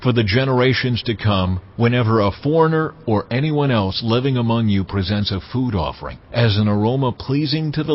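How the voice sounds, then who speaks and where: An actor playing God as a stern, commanding lawgiver, delivering solemn decrees deliberately in a dramatized scripture reading. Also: male voice, mid-range voice, lo-fi sound, slight background noise, close to an everyday voice. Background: background music.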